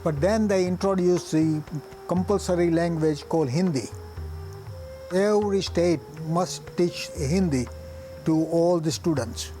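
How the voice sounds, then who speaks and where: An older man with a Gujarati Indian accent, native in Gujarati, looks back on his childhood in an oral history. His voice sits a little high for a man but sounds mellow and soft-edged, and he talks plainly, pausing and restarting as he searches for words. Faint music plays softly behind him. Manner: halting, plain-spoken, pauses and restarts. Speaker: physician recalling his life.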